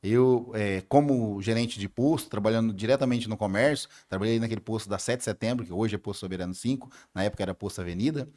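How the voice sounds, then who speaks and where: A man's voice: lowish voice, fast talker, hardly any pauses, darkish tone. A Brazilian man answering questions in a conversational way, as a political candidate being interviewed.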